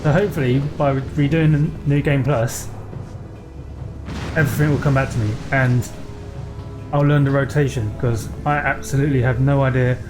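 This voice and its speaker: A man hosting a let's play, chatting casually in a halting, unscripted way. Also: mid-range voice, fairly even intonation, music in the background. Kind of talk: casual gameplay commentary.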